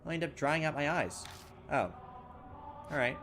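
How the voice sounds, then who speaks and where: A man hosting a let's play, chatting casually and excitedly while he reads the game's text aloud. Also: on the high side, normal speed, noisy background. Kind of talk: casual game commentary, reading text aloud.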